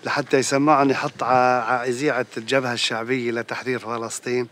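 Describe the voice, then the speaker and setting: A man with a Levantine Arabic accent recalling memories casually, halting and repeating himself as he searches for words. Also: mid-range voice, little pitch movement, a bit bright, slight background noise. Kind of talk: casual conversational recollection.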